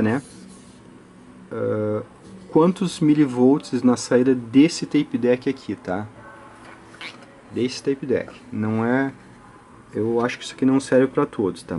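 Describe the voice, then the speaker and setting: A man's voice: medium pitch, mellow, a little hiss or hum. A man with a Brazilian accent giving an informal technical tutorial, explaining hesitantly step by step with frequent check-in tags. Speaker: host of an audio electronics channel. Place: indoors at a work table.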